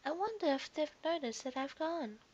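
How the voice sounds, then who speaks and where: Woman voicing a plucky, high-pitched little lion cub. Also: expressive, normal speed, a little mellow, muffled recording, slight background noise. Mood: musing. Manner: bold, self-important, exclaiming.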